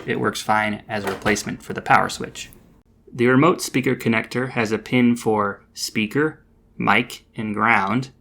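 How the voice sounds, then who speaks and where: A man's voice: fairly low pitch, a bit bright. A man narrating a DIY project in a casual, explanatory voice-over with a dry, understated manner.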